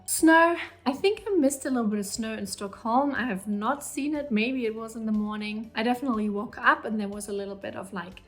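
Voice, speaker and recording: A woman in her thirties from Germany with a German accent, chatting casually and upbeat to camera in a vlog, often saying "you know". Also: fairly high pitch. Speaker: vlogger.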